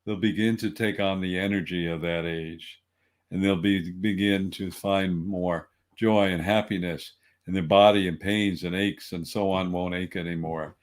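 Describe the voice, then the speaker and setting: Deep-voiced older man giving a motivational talk. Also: unhurried. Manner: earnest, lively bursts of emphasis. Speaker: wellness speaker.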